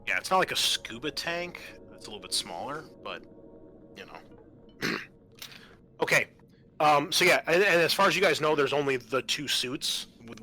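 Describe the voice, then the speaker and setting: A man's voice: medium pitch, bright, forceful tone. A man acting as game master, explaining things casually and a little hesitantly at a tabletop game.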